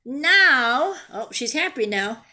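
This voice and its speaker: A woman reading a picture book aloud to young children in an expressive, deliberate way, pausing to ask simple questions and explain things. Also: fairly high pitch. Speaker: children's storyteller and teacher.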